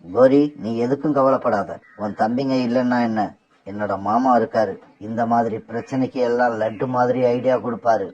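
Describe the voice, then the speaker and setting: A man voicing a male bird husband in a cartoon, reassuring his wife in a rushed way. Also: mid-range voice, fairly even intonation, a little mellow, voice pushed down, lightly acted. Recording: dull lo-fi recording.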